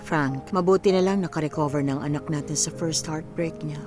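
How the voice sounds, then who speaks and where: A woman's voice: low-pitched, brisk, music in the background, lightly acted. A woman playing a tender, worried middle-aged mother in a radio drama.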